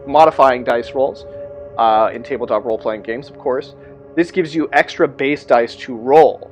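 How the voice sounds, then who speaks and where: Male host, mid-pitched, explaining tabletop rules with informal asides, music underneath. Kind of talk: rules explainer, part read aloud, part informal commentary.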